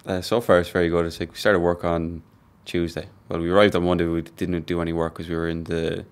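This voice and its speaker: Man, team leader; very deep, flat, quick, crisp. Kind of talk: casual interview answers.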